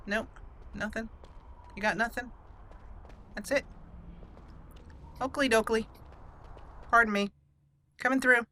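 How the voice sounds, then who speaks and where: A woman's voice: on the high side, steady tone, takes it slowly, long pauses, pushed and bright, slight background noise. A woman hosting a gaming channel, giving casual gameplay commentary in a chatty, playful way. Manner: chatty, playful, laughs at her own jokes. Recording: faint game music behind.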